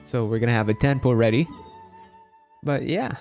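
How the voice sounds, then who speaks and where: A man's voice: mid-range voice, normal speed, vocal fry, a little mellow, soft background music. A man from the Philippines chatting casually as he hosts a game livestream. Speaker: game streamer.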